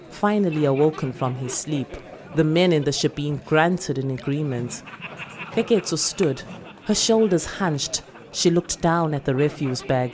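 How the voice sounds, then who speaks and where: A woman's voice: low voice, a little mellow. A woman with a South African accent giving a dramatic narration of a short story. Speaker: actor reading a short story.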